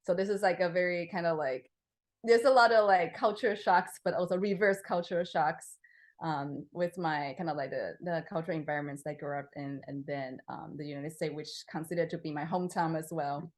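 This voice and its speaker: A young woman from Taiwan talks through her work in a relaxed interview. Her voice sits a little low, and she hesitates often, filling gaps with ums and restarting sentences. She laughs easily between thoughts. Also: a little fast. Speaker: interviewed composer. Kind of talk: casual interview answers.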